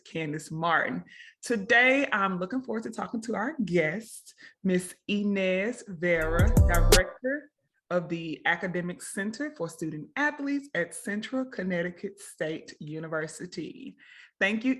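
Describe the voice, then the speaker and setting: A woman hosting a web interview show on a video call, bubbly and quick to laugh. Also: on the low side, a bit bright. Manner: bubbly, laughs often, repeats "very nice". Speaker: host.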